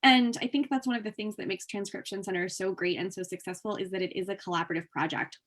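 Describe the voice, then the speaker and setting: A woman's voice: mid-range voice, steady tone, fast talker, a bit bright. A woman presenting at a workshop, explaining things informally and conversationally as she takes questions from participants. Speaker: workshop presenter for a museum transcription program.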